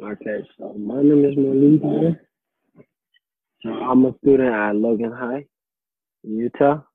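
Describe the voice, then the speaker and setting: A teenage young man, speaking over a tinny phone-like line. His voice sounds husky and dry, and he talks hesitantly, often repeating himself as he answers. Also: mid-range voice, measured pace, no room sound. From Somalia. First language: Somali. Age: teens. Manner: hesitant, repeats and restarts phrases. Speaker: interviewee, high school student. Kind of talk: casual interview answers. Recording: tinny phone line, faint radio noise.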